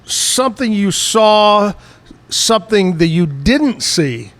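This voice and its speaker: A man's voice: sits high, very animated, takes it slowly, fairly bright tone. A man hosting a casual live interview at an outdoor bar stage, chatty and jokey. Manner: chatty, laughs easily, jokey. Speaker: show host.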